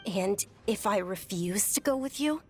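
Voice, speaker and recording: A woman's voice: mid-range voice, animated, measured pace, a little mellow, faint music behind, close to an everyday voice. An actress playing a polite but headstrong young noble lady in a game, speaking earnestly. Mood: defiant. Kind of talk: game voice acting.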